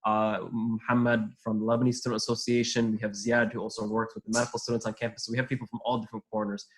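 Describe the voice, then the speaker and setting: A man's voice: lowish voice, level tone, poor audio. A young man leading a student association, speaking eagerly in a panel discussion. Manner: rapid, eager, with many fillers.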